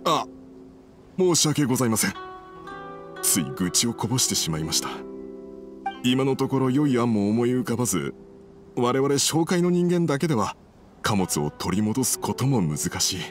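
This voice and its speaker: A man acts out a foreign merchant from a video game, troubled and apologetic. His speech is formal and hesitant, rising and falling expressively over clearly audible music. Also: medium pitch, sing-song, normal speed, pauses often, close to an everyday voice. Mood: dejected, apologetic. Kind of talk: Japanese game dub dialogue.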